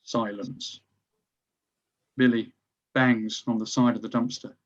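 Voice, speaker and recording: A man's voice: medium pitch, level tone, takes it slowly. A slightly muffled, lo-fi recording of a man narrating. He reads screenplay action lines in a mid-range voice, as scripted stage directions.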